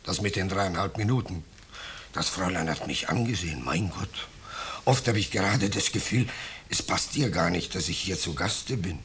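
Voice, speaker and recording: A wheedling, swooping, low-voiced vagrant. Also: male voice, animated, normal speed, a bit bright. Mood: sheepish. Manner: ingratiating, mock-humble, plaintive. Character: wheedling, self-pitying male vagrant, falsely humble houseguest. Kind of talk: 1950s German radio drama acting. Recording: noisy, clicking 1950s radio recording.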